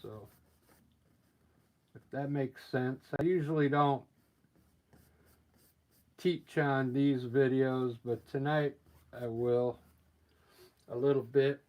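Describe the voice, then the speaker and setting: A man with a scratchy voice from allergies, painting live and explaining his steps in a relaxed, thinking-aloud way. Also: mid-range voice, slow, pauses often. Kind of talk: casual live painting demonstration.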